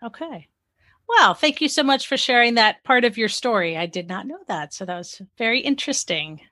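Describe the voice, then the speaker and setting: A woman's voice: a little high, lively intonation, moderate pace, pushed and bright, dry recording, studio quality. A woman hosting an interview, friendly and enthusiastic, with short affirming reactions. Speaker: interview host.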